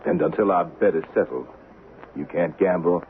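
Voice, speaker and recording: An actor playing a cool, calculating man out for revenge in the Old West, speaking with deliberate, dryly taunting control in a 1970s radio drama. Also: low-pitched, natural voice. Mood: taunting, assured. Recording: narrow-band vintage radio recording with faint hiss.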